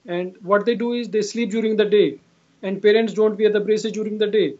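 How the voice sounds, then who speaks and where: A man's voice: sits high, steady tone, fairly quick, darkish tone. A man in his forties with an Indian accent, explaining his work in an interview and often repeating himself. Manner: repetitive, often says "you know". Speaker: clinician being interviewed. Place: indoor room, video call. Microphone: video call device at arm's length. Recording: dull, lo-fi video call audio.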